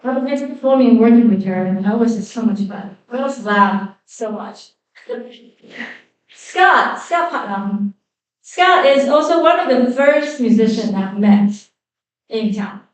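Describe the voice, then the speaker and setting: A woman with a Chinese accent introducing fellow musicians onstage at a concert, halting and self-correcting with many fillers. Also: a little high, animated. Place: concert hall. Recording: echoey hall, voice from a distance.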